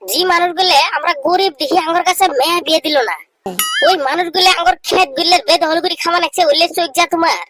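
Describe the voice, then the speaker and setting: An aggrieved, insistent poor villager from a comedy skit, voiced by a woman. The voice is high and speaks rural Bengali dialect in a complaining way, over clearly noisy, clicky sound. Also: fast. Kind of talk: amateur comedy skit dialogue. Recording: noisy amateur recording with clicks.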